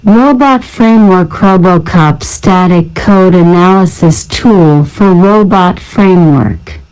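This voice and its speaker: Female narrator, lowish smooth voice, unhurried scripted read-out of technical names and short descriptions. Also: darkish tone, rough recording. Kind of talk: scripted narration, reading out a software library list.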